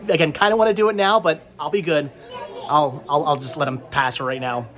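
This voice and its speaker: Higher-pitched man, jokey and chatty. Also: fairly even intonation, a little fast, fairly bright tone. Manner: jokey, teasing. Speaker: vlog host, movie collector. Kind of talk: casual chat to camera while shopping. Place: indoors, small cluttered movie and game shop. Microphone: handheld camera at arm's length.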